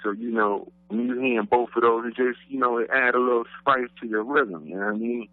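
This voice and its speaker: A man's voice: medium pitch, fairly quick, dry recording. A man from Brooklyn with a New York accent, a rapper talking casually about his roots in a laid-back way, full of "you know what I mean.". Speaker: guest rapper. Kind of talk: casual interview talk. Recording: dry, phone-like radio line.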